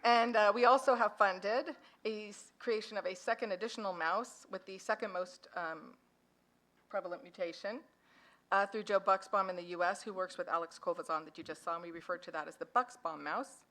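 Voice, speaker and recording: A woman's voice: mid-range voice, fairly quick, a bit bright. Chatty woman giving a conference talk. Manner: chatty and self-deprecating. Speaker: foundation founder and parent speaker. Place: conference hall. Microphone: handheld or podium microphone.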